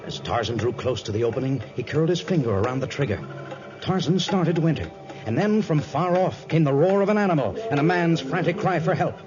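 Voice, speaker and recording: A man's voice: a little high, lively intonation, brisk. A man narrating a 1950s radio adventure serial in a dramatic, scripted style. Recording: muffled 1950s radio recording. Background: loud dramatic music.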